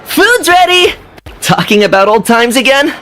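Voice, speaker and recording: A woman's voice: sits high, very animated, moderate pace, fairly bright tone. A woman voicing a cheerful, cheeky, loyal young gang member in a video game, with a breezy, teasing, friendly manner. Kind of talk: scripted video game voice acting.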